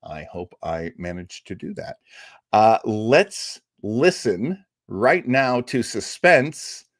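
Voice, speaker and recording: A man's voice: lowish voice, animated, takes it slowly, dry recording, studio quality. A man hosting a live show, chatty and rambling as he reads out viewer comments. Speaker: show host. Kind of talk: casual livestream chat.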